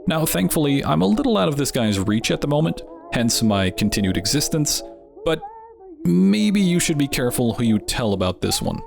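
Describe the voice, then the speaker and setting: A man's voice: on the high side, sing-song, moderate pace, creaky, darkish tone. A man hosting a channel, giving scripted narration with wry, dryly joking asides. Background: background music.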